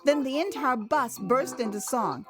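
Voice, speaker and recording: Female American storyteller for first-person audio memoir, higher register, theatrical and expressive, clearly scored with music. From Texas, United States. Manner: expressive, theatrical delivery with sighs and pauses. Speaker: author narrating her own memoir. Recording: music behind the voice.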